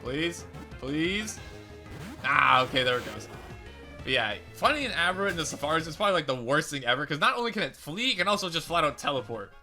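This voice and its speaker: A young man commentating a video game playthrough in a chatty, rambling way, his voice slightly raspy and crackly today. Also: on the high side, very animated, brisk, pushed and bright, soft background music. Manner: rambling, chatty, self-correcting. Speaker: let's play host.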